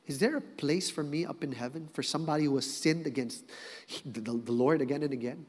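Animated high-voiced male preacher in a hall. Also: a little fast, slightly rough. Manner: animated, swings from loud emphasis to hushed. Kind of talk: sermon. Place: large hall with congregation. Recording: reverberant hall.

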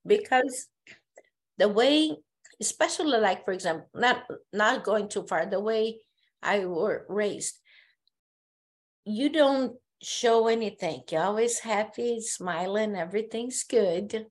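A woman from Brazil with a Brazilian Portuguese accent, a therapist speaking as a podcast guest, telling her story earnestly with halting restarts. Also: medium pitch, animated. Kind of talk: casual conversation.

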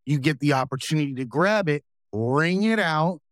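A man hosting a podcast, talking casually and drawing out homey analogies, slowing down on the points he wants to stress. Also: fairly high pitch, expressive, no room sound, studio quality. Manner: folksy, uses homey analogies, slows for emphasis. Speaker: podcast host. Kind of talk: casual interview talk.

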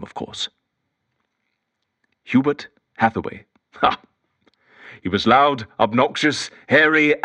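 Male actor as lonely, theatrical British interviewer in an audio drama monologue; very animated intonation, dry sound. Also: mid-range voice, no room sound. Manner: theatrical, rambling, whimsical. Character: eccentric, chatty, lonely male interviewer, quarantined in a bunker. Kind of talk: audio drama monologue, talking to a tape recorder.

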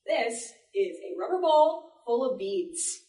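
A woman's voice: a little high, very animated, slow, dark tone. Woman presenting in an echoey room, off-mic. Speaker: panel presenter, sound effects artist. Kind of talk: live demonstration talk to an audience. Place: indoor library panel before an audience. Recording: echoey room, distant microphone.

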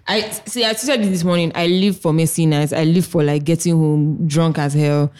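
A woman, her voice very low and mellow, co-hosts a casual podcast. She rambles loosely through her stories in easy banter. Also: fairly even intonation, moderate pace. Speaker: podcast co-host. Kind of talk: casual chat.

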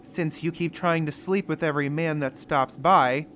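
An actor playing an earnest, wounded husband with emotional delivery in a 1930s-style radio mystery. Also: male voice, a little high, normal speed, a bit bright, a little hiss or hum, natural voice. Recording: music behind the voice.

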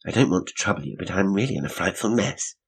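A man reading aloud, voicing a bold, practical young woman with crisp, well-bred phrasing. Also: deep voice, animated, fast talker, full character voice. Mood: brisk.

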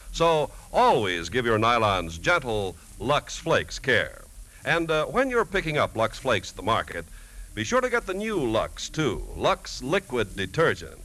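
Bright, lively American radio announcer, male. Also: medium pitch, slight background noise, low-quality recording. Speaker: announcer and commercial spokesman. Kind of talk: 1950s radio announcing and ad reading. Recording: 1950s radio broadcast, faint music.

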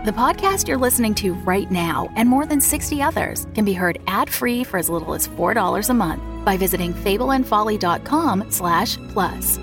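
A woman's voice: mid-range voice, lively intonation, normal speed, hardly any pauses. A woman reading scripted advert voice-overs as an announcer, with music behind her. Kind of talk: scripted ad voice-over.

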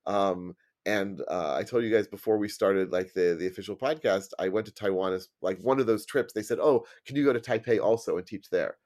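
Low-voiced American man, chatting emphatically. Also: a little fast, darkish tone. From United States. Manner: emphatic, repeats words for stress. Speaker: podcast guest, programming trainer. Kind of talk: casual conversational interview.

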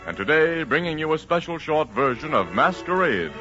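Casting: male radio announcer, dramatic and very animated, vintage muffled broadcast sound. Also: on the high side, moderate pace. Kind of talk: 1940s radio announcing, scripted. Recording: muffled vintage radio recording, music behind.

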